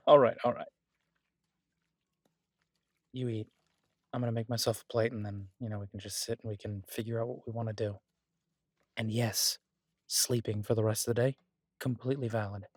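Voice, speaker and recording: A man playing a devoted, easygoing husband who cooks, talking in a casual, halting, reassuring way in an intimate audio roleplay. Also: on the low side, level tone, a little mellow, dry recording, clean studio sound, natural voice. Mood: tender, reassuring. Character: devoted, easygoing husband and cook, gently teasing. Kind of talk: intimate one-sided audio roleplay, conversational.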